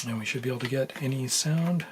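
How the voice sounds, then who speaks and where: A man giving a casual, unscripted how-to in a low-key, halting murmur while he works with his hands at a bench. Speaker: electronics hobbyist demonstrating a repair. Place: indoors at a workbench. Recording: noisy room with handling clicks.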